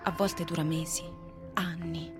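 A woman's voice: low-pitched, flat delivery, unhurried. A woman acting in a radio drama as a flirtatious, fickle wife, coy and confiding in her delivery. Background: music.

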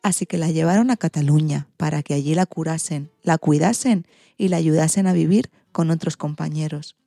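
A woman's voice: deep voice, moderate pace, gravelly, mellow, no room sound, clean studio sound. A woman presenting and narrating scripted stories for children. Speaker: co-host of an animal-stories programme for all ages.

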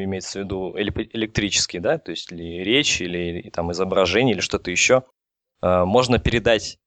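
A man giving an informal technical explanation as an expert, in a halting way with many false starts. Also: fairly low pitch, fairly bright tone, dry recording. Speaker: telecom engineer explaining as guest expert.

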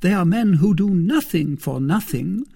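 A man voicing a proud, seductive and vindictive noblewoman in an audiobook of a classic novel, speaking in a deliberate, theatrical way. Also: sits high, lively intonation, vocal fry, dark tone, husky, pitched above the performer's own voice, exaggerated voice. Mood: coy, testing.

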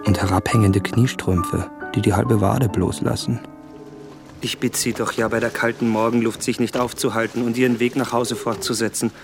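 An actor playing an embarrassed, anxious young gentleman traveller in a radio drama, with an Austrian accent and a polite, hesitant manner. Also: medium pitch, fairly even intonation, moderate pace, vocal fry, natural voice. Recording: music behind the voice.

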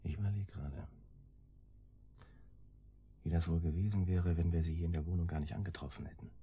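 A man's voice: low voice, fairly even intonation, fairly quick, warm tone, slightly rough. An actor playing an older, cautious henchman in a radio drama, hesitant and brooding as he reasons things through. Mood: brooding doubt.

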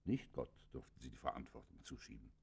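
An actor playing a stern yet pitying judge, formal and admonishing, in a classic German radio play. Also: male voice, very deep voice, big pitch swings, a little fast, gravelly, a little mellow, slightly rough, full character voice. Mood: reproachful. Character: stern, pitying male judge questioning a criminal.

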